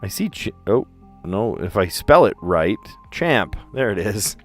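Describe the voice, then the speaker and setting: A lowish-voiced man with a general American accent talks his way through word puzzles on a livestream, musing aloud in a relaxed, chatty way. His diction is deliberate and careful, with the odd filler as he thinks. Faint music plays behind him. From US East Coast. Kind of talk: casual thinking aloud while solving puzzles.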